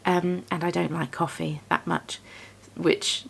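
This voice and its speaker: A young woman with a lowish, gravelly voice rambles casually as she works. She chats on in a self-deprecating way. The talk has an easy, unscripted feel. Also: little pitch movement, measured pace, fairly bright tone. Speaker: yarn dyer and small business owner. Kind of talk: casual chatty vlog voice-over. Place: home craft studio.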